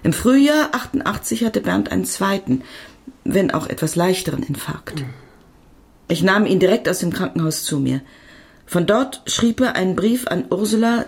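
A woman's voice: lowish voice, darkish tone. An actress playing a devoted woman doctor who tells of her love in a reflective, intimate radio drama monologue.

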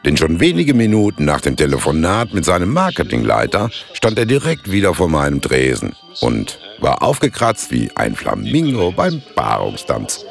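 An actor playing a wry, laconic pub landlord in a satirical radio play, gravelly and dry. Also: male voice, extremely low pitch, huge pitch swings, moderate pace, few pauses, over music, exaggerated voice. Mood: wry narrating.

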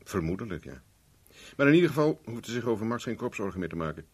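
An actor in a 1970s Dutch radio play, playing a cocky private investigator with a dry, teasing, self-mocking manner. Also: male voice, fairly low pitch, sing-song, fast, slightly rough. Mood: dry, probing. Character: wry, cocky male private investigator for hire.

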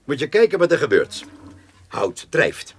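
An actor playing a cheeky, proud farmhand inventor in a radio play, colloquial with mock-grand salesmanship. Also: male voice, medium pitch, huge pitch swings, unhurried, a little hiss or hum. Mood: eager. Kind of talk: comic radio play dialogue.

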